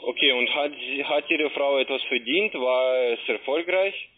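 A man gives a phone sales pitch in non-native German with grammatical slips. He is halting and often repeats himself. His medium-pitched voice comes through a muffled, narrowband telephone line. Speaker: call centre agent pitching online trading.

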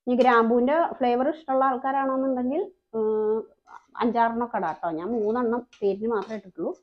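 A woman's voice: fairly high pitch, steady tone, quick pace. Woman, cooking voice-over, friendly explainer, slightly dull lo-fi sound. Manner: chatty, explains each step, frequent tag questions. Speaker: cooking channel host.

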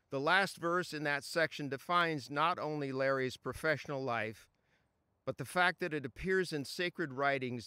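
An older man reading a written eulogy aloud at an outdoor funeral, in a measured, solemn way, into a stand mic. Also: a little high, pushed and bright, clear, resonant tone, dry recording. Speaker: reader of a friend's tribute. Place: outdoors at a funeral gathering among trees.

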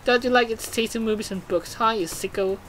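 An excitable gaming host giving casual commentary, exclaiming and swearing while reading game text aloud. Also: mid-range voice, brisk, slight background noise, low-quality recording.

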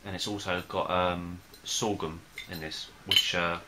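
A young man casually reviewing a beer at home, talking in a relaxed, hesitant way with many fillers. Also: sits low, unhurried, heavy background noise. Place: small room in front of a plain wall.

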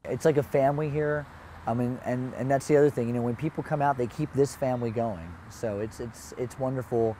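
Mellow man, casual market manager. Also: medium pitch, steady tone, a little fast, slight background noise. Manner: casual, lots of "you know". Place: outdoor farmers market.

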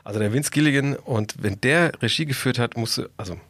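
A man's voice: mid-range voice, a little fast, a bit bright, dry recording. A man speaking casually as a podcast co-host, in a colloquial and blunt manner. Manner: colloquial and blunt, with mild swearing. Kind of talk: casual chat.

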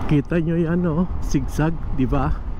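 Slow-talking man on a scooter. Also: a little high, fairly even intonation. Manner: unhurried, chatty, laughs while riding. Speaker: motovlogger. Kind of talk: casual riding commentary. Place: outdoors on a scooter on a road. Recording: wind and traffic noise.

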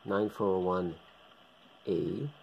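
A man giving a casual, hands-on equipment demonstration as a hobbyist presenter, talking unhurriedly in short, halting bursts. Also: low voice, steady tone, gravelly, dull audio, slight background noise. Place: indoors, room with radio gear on a shelf.

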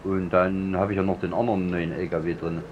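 A man with a very deep voice and a German accent, talking quickly and teasing his friend as they play. His voice comes through dull, muffled voice-chat audio with a faint hiss behind it. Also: level tone. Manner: teasing, brisk and matter-of-fact. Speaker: co-player on voice chat. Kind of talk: casual gaming chat.

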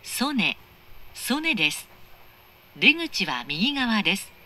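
A woman's voice: mid-range voice, big pitch swings, slow pace, sharp tone. A woman reading scripted train station announcements in a formal, precisely enunciated manner. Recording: clear train noise, recorded inside carriage. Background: moving train interior noise.

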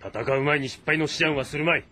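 A man playing an earnest, cautious warlord of ancient China in a game drama, with a weighty, deliberate delivery. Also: fairly high pitch, pushed and bright, faint music behind. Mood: troubled, resolute. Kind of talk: dramatic audio-novel acting in a video game.

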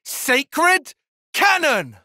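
A man's voice: very high-pitched, big pitch swings, very slow, pushed and bright, no echo, clean studio sound, full character voice. An actor voicing an earnest, calculating and proud young male tactician in a video game, speaking formally and precisely with intensity. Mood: battle shouting. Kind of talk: video game battle voice lines.

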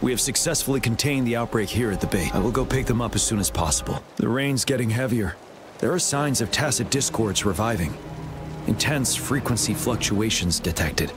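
A man's voice: medium pitch, brisk, natural voice. A man playing a stoic young general in a video game, serious and commanding in manner. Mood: grave resolve. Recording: loud music, rain and game sound behind.

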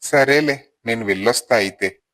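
A man's voice: medium pitch, normal speed, fairly bright tone, lightly acted. A man playing a fair-minded, admiring village neighbour in a moral storybook tale. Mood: admiring, reasonable.

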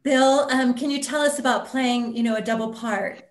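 A woman's voice: fairly high pitch, steady tone, normal speed, roomy sound. A woman asking casual questions as moderator of a video-call talkback. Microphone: video call microphone.

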